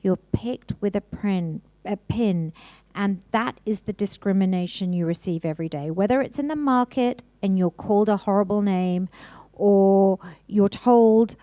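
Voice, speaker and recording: A woman from Hong Kong speaking on a panel, telling her story in an earnest, measured way with emphatic pauses. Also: medium pitch, warm tone, no room sound. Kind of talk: panel discussion.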